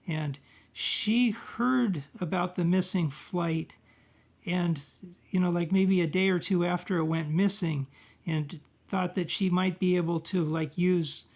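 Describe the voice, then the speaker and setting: A man talking informally through his own research in a halting, rambling way, often trailing off and searching for words. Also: high voice, vocal fry. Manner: halting, hesitant, rambling with frequent trailing phrases. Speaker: amateur researcher presenting own video. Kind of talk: informal monologue recounting research.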